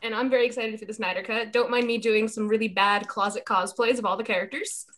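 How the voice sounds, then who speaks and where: A woman's voice: a little high, steady tone, fast talker, fairly bright tone. A woman chatting casually as a panelist in an informal group conversation. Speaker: panelist and podcaster.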